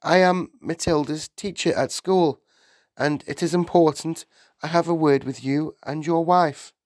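A man's voice: on the high side, fairly even intonation, a little slow, a little mellow, dry recording, clean studio sound, voice pushed up, close to an everyday voice. A man voicing a slim young woman schoolteacher in a storybook, polite and earnest but firm.

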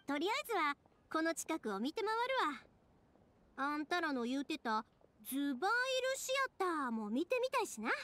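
A woman's voice: high voice, a bit bright. A woman playing a cheerful, considerate, chatty young woman with a Kansai accent in an anime fantasy game. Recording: music behind the voice.

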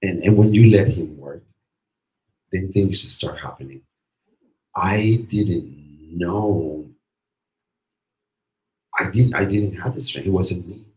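A middle-aged man speaks in a low voice that is strained from overuse. He shares testimony haltingly, circling back on phrases to stress them. The sound is roomy and a little dull, picked up by a handheld mic in a small meeting room. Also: a little mellow. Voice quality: extra deep from strain. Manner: halting, repeats phrases for emphasis. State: voice strained from yesterday's screaming. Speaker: group discussion participant. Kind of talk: personal testimony in a group discussion. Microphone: handheld microphone.

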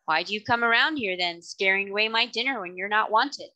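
A woman's voice: a little high, very animated, fairly quick, sharp tone, no room sound, low-quality recording. A woman voicing a big, grumpy, territorial sea spider who snaps crossly in a storybook. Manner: cross, snapping.

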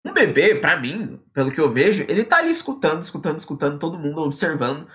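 Lively young male English teacher. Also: a little high, fast. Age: young adult. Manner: lively and energetic, quoting a student in acted lines. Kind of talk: online English lesson in Portuguese. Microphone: webcam at close range.

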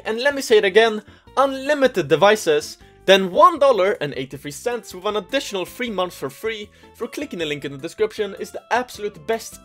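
Animated woman reading a sponsor spot. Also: medium pitch. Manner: animated, playful. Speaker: channel host. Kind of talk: scripted sponsor read.

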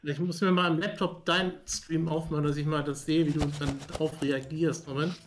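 Young man, higher-pitched voice, casual streamer. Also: level tone, brisk. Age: young adult. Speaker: sports streamer and podcaster. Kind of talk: casual livestream chat. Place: small home studio room, on a video call.

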